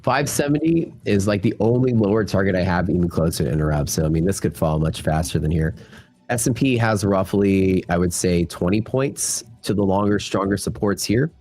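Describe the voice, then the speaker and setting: A man's voice: low voice, moderate pace, darkish tone, soft background music. A man hosting a live futures trading stream, talking loosely to his chat, his voice worn and nasal from a cold. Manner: loose, filler-heavy talk to the chat. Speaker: futures trading livestream host. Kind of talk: casual live market commentary.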